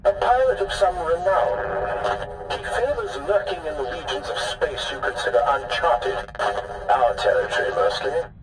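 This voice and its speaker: A man's voice: fairly high pitch, very animated, unhurried. A roomy, distant holo-call voice with faint noise: a haughty male alien aristocrat from a game cutscene. He is formal, clipped and imperious, with intonation that swings widely. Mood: cold, grave. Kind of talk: video game cutscene acting.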